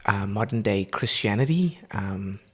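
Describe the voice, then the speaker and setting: A man's voice: on the low side, lively intonation, measured pace, a bit bright, slightly rough. South African man, earnest and heartfelt. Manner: earnest, speaks in spiritual, honoring phrases. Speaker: podcast guest. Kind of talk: warm, earnest conversational storytelling.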